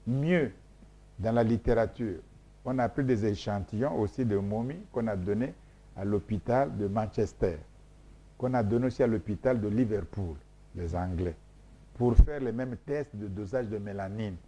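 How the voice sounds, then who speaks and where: A man's voice: lowish voice, normal speed, dark tone. A man whose first language is Mboshi, from Congo, explaining his research in an interview in an emphatic, repetitive, lecturing way with frequent hesitations. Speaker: scholar being interviewed.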